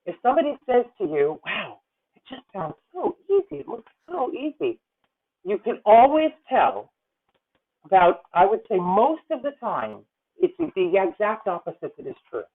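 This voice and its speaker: Woman in her fifties coaching singers, animated. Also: mid-range voice, breathy edge. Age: 50s. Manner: animated, sings demonstration phrases, halting restarts. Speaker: voice teacher leading a masterclass. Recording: tinny phone-like video call audio.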